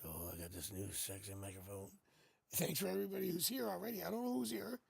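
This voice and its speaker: A man with a raspy voice hosting a casual live tarot stream, chatting playfully and joking through a new studio microphone. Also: a little high, lively intonation, quick pace, no echo.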